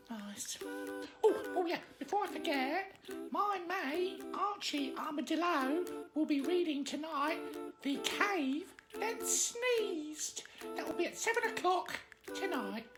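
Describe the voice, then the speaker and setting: Squeaky, laid-back little puppet. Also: female voice, animated, a little slow, music in the background, rough recording. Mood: lazy, chatty.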